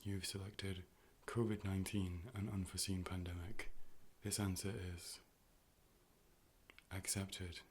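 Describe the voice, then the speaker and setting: A man playing a calm, polite robotic AI optician in an ASMR role play, speaking in a measured, formal, deadpan way. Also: deep voice. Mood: calm, clinical. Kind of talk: ASMR medical role play, personal attention.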